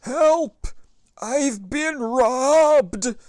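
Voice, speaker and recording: A man voicing a fussy old grandfather who has lost his teeth, in a children's storybook read-aloud, loud and mumbling. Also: extremely high pitch, voice pushed up, full character voice. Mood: distraught. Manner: loud, slow, wailing, mumbling as if toothless.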